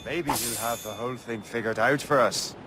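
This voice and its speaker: An actor playing a free-spirited young Irish sailor in a video game, easygoing and wry. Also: male voice, medium pitch, moderate pace, over music, close to an everyday voice. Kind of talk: dramatic video game cutscene acting. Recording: noisy open-sea ambience behind the voice. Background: waves and boat ambience.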